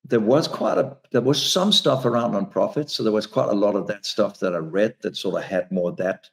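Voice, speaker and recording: A very deep-voiced man whose voice is gravelly with fry. He is a native Danish speaker talking in English, and he stops and restarts his sentences often. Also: moderate pace. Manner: hesitant, many restarts. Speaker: author and speaker. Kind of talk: casual conversation.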